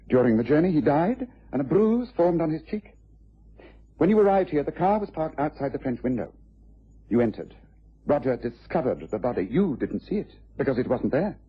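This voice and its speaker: Old radio english detective, raspy and sure of himself, muffled vintage recording. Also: male voice, medium pitch. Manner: precise, commanding, deliberate. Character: shrewd, methodical gentleman detective, sure of himself. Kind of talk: mid-20th century radio drama acting.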